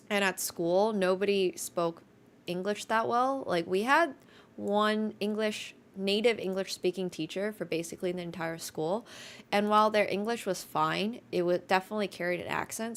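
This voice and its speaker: A woman's voice: medium pitch, fairly bright tone. Chatty, slightly nasal young woman. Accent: American. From Finland. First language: Mandarin. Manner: chatty, quick asides, laughs often. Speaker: live streamer. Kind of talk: casual chat with stream viewers.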